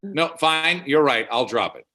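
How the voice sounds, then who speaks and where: An actor as a stubborn, animated moralizing dad. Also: male voice, mid-range voice, measured pace. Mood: disapproving. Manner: prudish, grumbling, insistent. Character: stubborn, moralizing older father named Howard. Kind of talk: comedy script table read.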